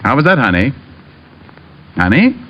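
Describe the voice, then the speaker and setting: Smug flirt, wildly swooping slow voice. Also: male voice, medium pitch, sing-song. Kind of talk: old radio drama acting. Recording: dull, noisy vintage radio recording.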